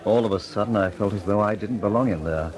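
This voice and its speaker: An actor playing a mild, hesitant, polite gentleman inventor in an old-time radio drama. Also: lowish voice, fast, close to an everyday voice. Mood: downcast. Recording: old radio recording, crowd chatter behind.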